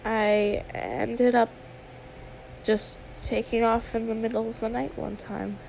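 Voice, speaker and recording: A woman's voice: a little high, little pitch movement, takes it slowly, vocal fry, a little mellow, slight background noise, poor audio. A woman playing a friendly, trusting young female YouTuber in a conversational ASMR roleplay, chatty and confiding with some hesitation. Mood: confiding, earnest. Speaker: roleplay audio performer.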